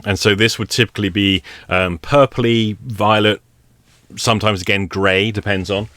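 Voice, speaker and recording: Wry, low-voiced man teaching meditation. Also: steady tone, normal speed, a bit bright, no echo. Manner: wry, conversational, explains step by step. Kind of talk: relaxed spoken instruction.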